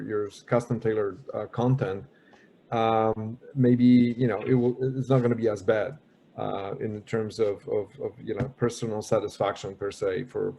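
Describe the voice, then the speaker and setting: A man with a Polish accent, speaking as a panelist in a casual online discussion, halting and often repeating words. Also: mid-range voice, creaky, warm tone, slight background noise, poor audio. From Poland.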